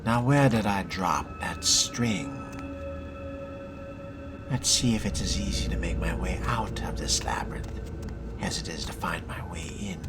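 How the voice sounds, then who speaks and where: Man role-playing a slow, low, wry vampire fledgling. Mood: musing. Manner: articulate, musing, sardonic. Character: wry, inquisitive young male vampire fledgling with refined diction. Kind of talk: role-played game narration and dialogue. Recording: loud background music.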